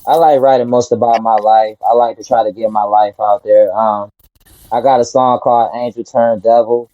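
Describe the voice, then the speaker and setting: Flat, low-key rapper from Detroit. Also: male voice, normal speed, no echo, lo-fi sound. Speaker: rapper guest. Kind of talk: interview answers.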